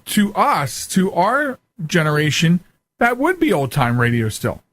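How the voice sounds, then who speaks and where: A man's voice: sits high, wildly swooping pitch, a little slow, fairly bright tone. A man hosting a radio show in a casual, rambling, conversational way. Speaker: radio show and podcast host.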